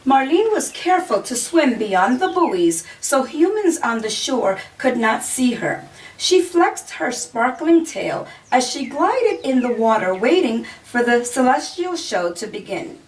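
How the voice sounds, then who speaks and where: A woman reading a children's story aloud in a deliberate storytelling manner, pausing to point out pictures. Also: sits high, very animated. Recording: distant mic, faint background noise.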